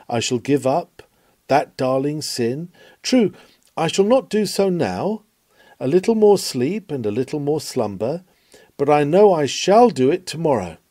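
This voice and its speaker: A man's voice: a little high, warm tone, no echo. A man reading an old sermon aloud in a solemn, oratorical and exhorting manner, with dramatic emphasis. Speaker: narrator reading a historical sermon.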